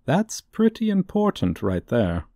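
A man playing a gloating, suave supervillain in a comedic ASMR roleplay, with a theatrical, teasing, drawn-out delivery. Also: on the high side, huge pitch swings, warm tone, no room sound, studio quality, heavily acted. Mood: smug, menacing. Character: gloating, suave, theatrical male supervillain toying with a young hero.

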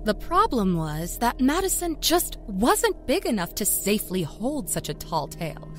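Wry, animated small-town Southern storyteller. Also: female voice, medium pitch, normal speed, faint music behind. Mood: sly, confiding. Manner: wry, folksy, confiding storyteller. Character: sly small-town Southern woman, a self-confessed professional liar. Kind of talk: dramatic first-person horror story narration. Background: musical score.

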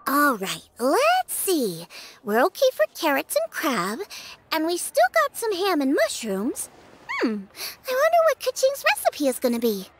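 A woman's voice: sits high, wildly swooping pitch, a little slow, slight background noise, full character voice. A woman voicing a cheerful, friendly teenage girl cook in an anime-style video game, upbeat and casual. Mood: musing. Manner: upbeat, casual, food-minded. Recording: music under the voice. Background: game music.

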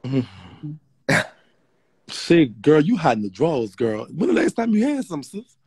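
Sassy man with a lively husky voice. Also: fairly high pitch, a little slow, no room sound, clean studio sound. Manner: sassy, swearing, animated. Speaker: livestream host. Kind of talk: casual late-night livestream chat. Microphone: phone front camera.

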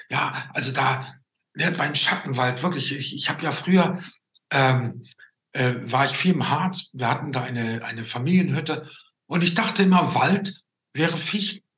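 A man, interviewing conversationally in a halting way, often adding a questioning tag. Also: mid-range voice, sharp tone. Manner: halting, often tagging sentences with a questioning 'ne?'. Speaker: interviewer and show host. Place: indoor room at home, on a video call. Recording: roomy, echoing video call.

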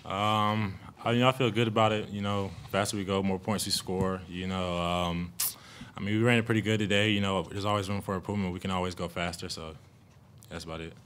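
Laid-back young man, low voice. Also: steady tone, fairly quick, a bit bright, slight background noise. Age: young adult. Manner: laid-back, joking, lots of "you know". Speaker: college football receiver. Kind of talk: postgame press conference answers. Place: press room in front of a logo backdrop. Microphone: table mic on a stand.

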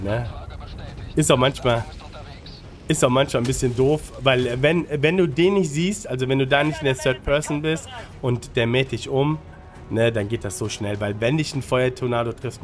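A man's voice: mid-range voice, moderate pace. Male gaming streamer, slightly congested, casual colloquial tips, English gamer slang, music behind the voice. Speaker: gaming guide creator and streamer. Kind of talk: casual gameplay commentary with tips. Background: music under the commentary.

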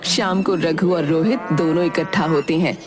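A woman's voice: low-pitched, normal speed. Woman narrating a story over music. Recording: music behind the voice. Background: background music.

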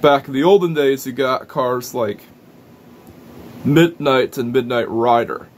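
A man's voice: medium pitch, unhurried, a little hiss or hum. A young man talking casually to camera as a racing video reviewer, rambling and correcting himself as he explains. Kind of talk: casual vlog commentary. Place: small indoor room full of toy shelves. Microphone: camera or webcam in front of him.

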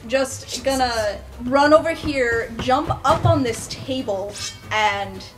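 A woman's voice: fairly high pitch, takes it slowly. A woman playing a tabletop roleplay game, improvising in casual talk. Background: background music.

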